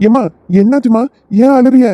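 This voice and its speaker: A man's voice: very high voice, steady tone, normal speed, a little hiss or hum. A man playing a bemused young husband in a family skit, wry and puzzled, with a southern Tamil Nadu accent and muffled sound. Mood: concerned. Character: bemused young husband caught between wife and mother.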